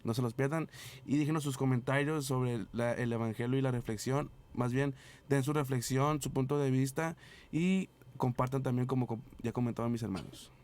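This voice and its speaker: A man's voice: medium pitch, moderate pace, a little mellow. A man hosts a parish program in a friendly, conversational way. He welcomes the listeners and invites them to tune in. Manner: friendly, slightly out of breath. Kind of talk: casual show hosting.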